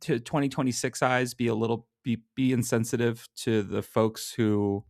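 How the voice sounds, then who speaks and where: A man co-hosting a podcast, chatting casually and thinking aloud in a halting way. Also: mid-range voice, steady tone, creaky, a little mellow, no room sound, studio quality. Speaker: podcast co-host. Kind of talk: casual comic book discussion.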